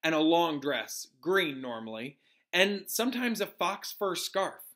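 A man plays a timid young schoolboy wizard from a storybook. The voice is raised in pitch and hesitant. He sounds nervous and unsure of himself. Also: very animated, unhurried, a bit bright. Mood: uncertain. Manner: hesitant, stammering.

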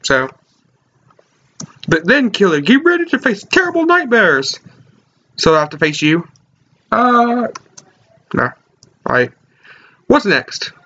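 A man's voice: high-pitched, big pitch swings, takes it slowly, pauses often, pushed and bright. A young man hosting a casual let's play in his bedroom, joking and reading game text aloud. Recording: lo-fi webcam audio with game music.

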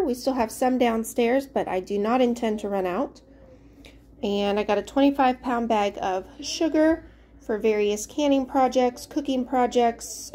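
Young mom, slightly high voice, chatty home vlog on a handheld camera. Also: female voice, a little mellow. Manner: chatty, loses words, trails off. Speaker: mother of a large family, vlogger. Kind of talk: casual grocery haul walkthrough. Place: indoors at home, dining room and pantry. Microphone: handheld camera held at chest height.

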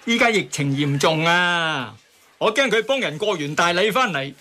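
A man's voice: sits high, animated, normal speed, pushed and bright. An actor playing a hot-tempered, griping taxi driver and family man in a radio drama, with a Hong Kong Cantonese accent. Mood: annoyed, griping. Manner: griping, exclamatory. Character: grumbling male taxi driver, hot-tempered husband and father.

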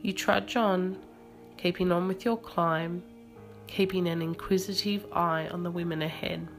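A woman reading a scripted guided meditation in a measured, soothing manner. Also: on the low side, steady tone. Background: soft music.

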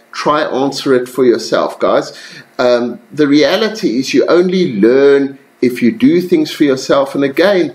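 A man giving a recorded slide lecture to students, relaxed and conversational, with frequent ums and self-corrections. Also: mid-range voice.

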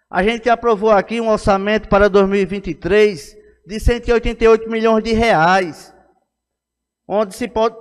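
A man with a Northeastern Brazilian accent addresses a council session in an oratorical, insistent manner. His voice is high for a man and very clean in tone. It rings through the chamber with a long reverb. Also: clear, resonant tone. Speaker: city councillor. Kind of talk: political speech in a plenary session. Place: indoor council chamber at a lectern. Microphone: gooseneck podium microphone. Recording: echoey hall with long reverb.

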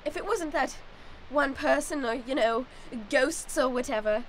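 A woman's voice: sits high, unhurried, a little hiss or hum. A woman playing a haughty, suspicious, melodramatic lady of the manor in an improvised old-time radio play. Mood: stern, exasperated. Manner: melodramatic, exasperated, formal.

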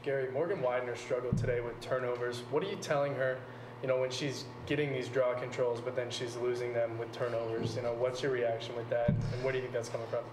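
A man's voice: medium pitch, little pitch movement, fast talker. A male reporter asking pointed follow-up questions at a post-game press conference. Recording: echoey room with background noise.

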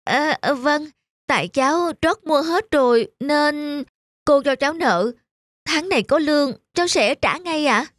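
A woman voicing an earnest, polite young man in an animated moral story. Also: high-pitched, a little slow, dry recording, studio quality. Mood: sheepish. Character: earnest young man, spendthrift son learning independence. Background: background music.

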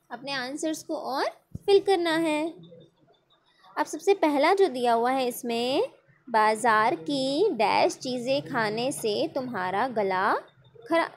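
A woman schoolteacher with a high voice talks at an unhurried pace. She poses a question to her pupils and then answers it herself, step by step, in a patient classroom manner. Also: a little hiss or hum. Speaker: school teacher. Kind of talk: recorded online class over worksheet.

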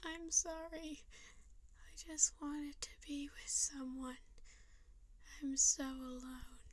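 A woman's voice: high voice, fairly even intonation, slow. A woman voicing a lonely, apologetic little cartoon ink demon with a meek, plaintive manner. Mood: sad, apologetic.

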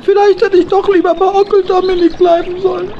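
A woman's voice: very high-pitched, flat delivery, fairly quick, dull audio, a little hiss or hum. A woman playing a small, naive male hedgehog in a children's audio play, earnest and politely formal. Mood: dejected. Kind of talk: children's audio drama acting.

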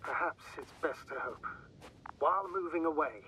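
An actor playing a calm, concerned mission commander in a video game, talking over radio in a measured, formal way. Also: male voice, a little high, slight background noise, poor audio. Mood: grave. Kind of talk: dramatic game dialogue.

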